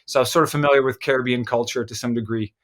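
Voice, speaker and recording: An Egyptian-born man talking as a filmmaker guest in a casual interview, thoughtful, with frequent pauses and restarts. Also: mid-range voice, fairly even intonation, fairly bright tone. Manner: thoughtful, many fillers and restarts.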